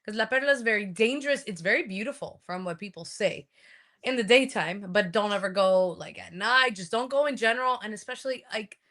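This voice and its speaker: A woman with a bright, clear voice tells a story from the road. She talks casually and animatedly, with many "um"s and bursts of laughter. She is from Colombia. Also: medium pitch, a little fast. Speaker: wrestler guest.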